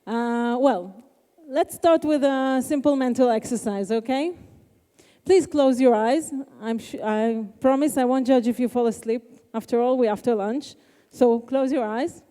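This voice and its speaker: A woman's voice: on the high side, a little slow, dark tone, smooth. A woman entrepreneur opening a talk at a conference, light and engaging, speaking straight to the audience. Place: large conference hall. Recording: reverberant hall.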